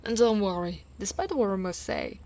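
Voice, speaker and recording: A woman from Italy voicing a short female orc guard in intimate ASMR roleplay, gently dominant and doting, soft and breathy with slips into whispering. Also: mid-range voice, animated, normal speed, close to an everyday voice. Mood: teasing, reassuring. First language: Italian.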